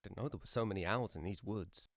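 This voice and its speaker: An actor playing a mild, curious young weaver in a fantasy adventure game. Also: male voice, sits low, fast, creaky, no echo, studio quality, soft background music. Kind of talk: adventure game character remarks.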